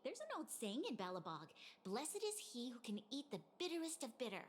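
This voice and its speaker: A woman voicing a calm, philosophical young woman in a video game, with formal, wry, measured phrasing. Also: a little high, a little hiss or hum. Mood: serene. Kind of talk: video game dialogue acting.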